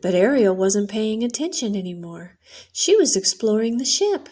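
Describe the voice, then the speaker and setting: A middle-aged woman reading a children's story aloud as a storyteller, in a deliberate, expressive way. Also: medium pitch, breathy edge. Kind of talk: bedtime story narration, read aloud.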